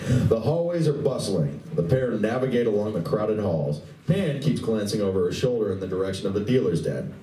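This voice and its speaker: A man narrates a radio play from a script. His voice is warm, mellow and a little low. It is heard from a distance in a reverberant room with faint noise. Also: animated, moderate pace.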